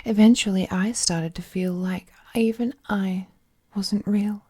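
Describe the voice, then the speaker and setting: A woman playing a ruthless, possessive, all-powerful being in an intimate audio drama, speaking with languid, condescending affection and often dropping into a breathy whisper. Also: mid-range voice, fairly even intonation, measured pace, dark tone. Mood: languid, wistful.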